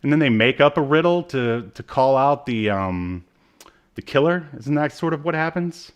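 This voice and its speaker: A man's voice: medium pitch, lively intonation, moderate pace, clean tone, lo-fi sound. A man co-hosting a podcast, casually recapping in a hesitant, rambling way. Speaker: podcast co-host. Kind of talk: casual chat recapping a TV episode.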